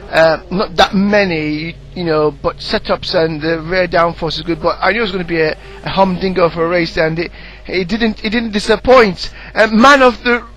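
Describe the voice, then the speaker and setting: A man's voice: sits high, a bit bright, rough recording. A man co-commentating on a sim race in a casual, matey way, chatting and putting questions to others. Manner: informal, matey.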